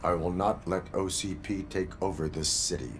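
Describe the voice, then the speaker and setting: An actor playing a stoic cyborg police officer in a video game, speaking in a terse, formal deadpan. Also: male voice, slight background noise, lightly acted. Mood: deadpan, resolute. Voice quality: low, measured. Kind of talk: video game cutscene acting.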